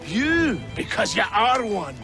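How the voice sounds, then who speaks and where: An actor playing a rustic, good-humoured lumberjack in a video game, full of blunt, jocular banter. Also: male voice, high voice, sing-song, slow pace, music in the background, full character voice. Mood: teasing. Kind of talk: video game cutscene acting.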